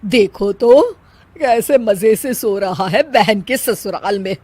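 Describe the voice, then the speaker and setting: A woman's voice: medium pitch, slow pace, warm tone, slightly rough, no room sound. A woman voicing a scheming, melodramatic middle-aged mother-in-law in a cartoon story. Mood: gloating. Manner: melodramatic, exclamatory. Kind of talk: Hindi cartoon story dubbing.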